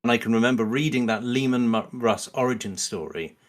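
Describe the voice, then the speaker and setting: A middle-aged man as a guest in casual podcast chat, halting and earnest as he thinks aloud. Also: medium pitch.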